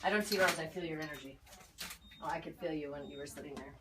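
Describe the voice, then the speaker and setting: A woman's voice: low voice, quick pace, very noisy. A woman giving a conversational spiritual reading as an aura reader, talking in a chatty, emphatic, assured way.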